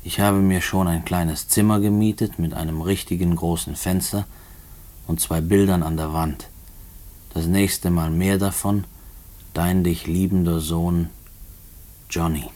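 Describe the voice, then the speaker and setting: Low-voiced, slow, brooding male prisoner. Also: fairly even intonation, dark tone. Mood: brooding, halting. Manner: halting, brooding monologue, spelling out words as he writes. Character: restless male prisoner writing hopeful letters to his mother. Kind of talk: German radio play acting.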